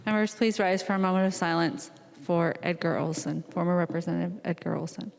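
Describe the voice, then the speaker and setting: A woman's voice: on the low side, fairly even intonation, a little fast, gravelly. A woman presiding over a legislature, making formal procedural announcements. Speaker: presiding House speaker. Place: large legislative chamber. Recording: reverberant large chamber hall.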